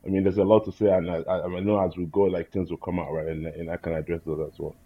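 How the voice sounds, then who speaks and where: A man with a low, husky voice shares his views in a casual roundtable. He is earnest and reflective, often checking that he is followed. The audio is a dull, lo-fi online call. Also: level tone, fast talker. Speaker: roundtable guest.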